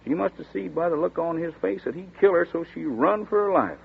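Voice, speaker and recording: A folksy gravelly country deputy. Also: male voice, fairly high pitch, lively intonation, exaggerated voice. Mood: storytelling. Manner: folksy rural dialect, chatty and slow-spoken. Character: folksy drawling small-town male deputy, middle-aged. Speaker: rural sheriff's deputy. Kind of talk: 1940s radio acting. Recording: tinny scratchy 1940s radio broadcast.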